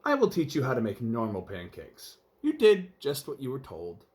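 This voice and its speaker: Storybook housewife, voiced by a man, calm, reassuring, patient, varied intonation. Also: mid-range voice, normal speed.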